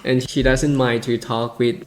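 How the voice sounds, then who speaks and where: A man's voice: mid-range voice, level tone, normal speed. A man with a Thai accent co-hosting a podcast, chatting casually in simple non-native English. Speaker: podcast co-host. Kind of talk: casual chat.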